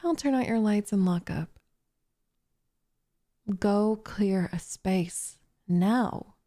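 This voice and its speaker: A woman playing a possessive, lovestruck witch in an ASMR roleplay, coaxing and condescending in a soft voice that slips into whispers. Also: mid-range voice, drawn-out words. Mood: commanding, hushed.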